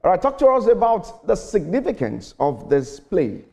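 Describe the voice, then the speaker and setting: A man's voice: high voice, big pitch swings, moderate pace, vocal fry, dark tone. A man presenting a morning TV news program, reading an intro and then questioning a guest, stumbling now and then. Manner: reads a scripted intro, then asks questions with some stumbles. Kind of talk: news interview.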